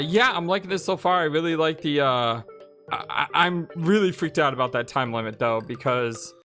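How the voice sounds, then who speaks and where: A woman's voice: rumbling low, fairly bright tone. A woman hosting a let's play, switching between reading game narration aloud and casual commentary. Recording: game music behind. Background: video game music.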